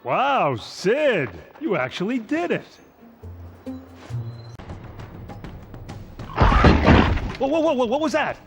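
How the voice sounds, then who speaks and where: An actor playing an anxious, earnest mammoth father-to-be in an animated video game, with a dry, fussy manner. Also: very high-pitched, moderate pace, exaggerated voice. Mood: surprised. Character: anxious, sincere male mammoth, expectant father. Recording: music behind the voice.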